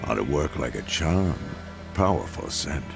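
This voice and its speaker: An actor playing a grizzled older monster hunter, a wry mentor with a gravelly voice who teases dryly. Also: male voice, very deep voice, animated, unhurried, exaggerated voice. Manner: dry, teasing, matter-of-fact. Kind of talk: video game voice acting. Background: game music.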